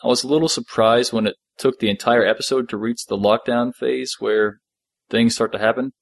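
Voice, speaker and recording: A man's voice: medium pitch, steady tone, moderate pace, vocal fry, no room sound. A man hosting a podcast, talking casually as a fan discussing a story. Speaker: podcast host.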